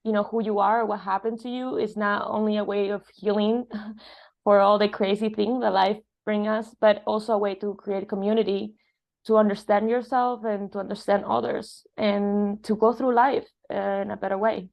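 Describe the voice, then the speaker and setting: Earnest, creaky-voiced woman being interviewed. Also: mid-range voice, flat delivery, moderate pace, muffled recording. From born in Argentina, grew up in Spain and Italy. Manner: earnest, reflective. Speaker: guest, dance filmmaker. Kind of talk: conversational interview, personal reflection.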